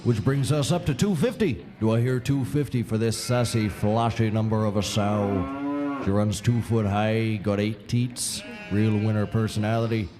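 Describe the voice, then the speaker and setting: Man playing a low-voiced folksy auctioneer. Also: normal speed, music in the background, lightly acted. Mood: folksy patter. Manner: folksy, rambling, wordy. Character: folksy, rambling, sardonic livestock auctioneer, man. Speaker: actor in a scripted comedy series. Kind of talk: scripted audio comedy acting.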